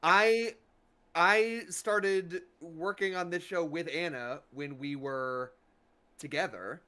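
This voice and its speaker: Bright-voiced man, halting and sincere. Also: on the high side, smooth. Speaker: cast member of an audio drama. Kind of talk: casual reflective panel chat.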